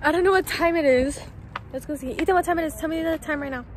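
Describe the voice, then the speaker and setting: A woman's voice: sits high, fast talker. A teenage young woman with an American accent chatting casually to her vlog, bubbly, slangy and exclamatory. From California, United States. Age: teens. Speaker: travel vlogger. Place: car, outdoor stops and hotel room. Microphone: phone at arm's length. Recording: noisy handheld phone, road and street ambience.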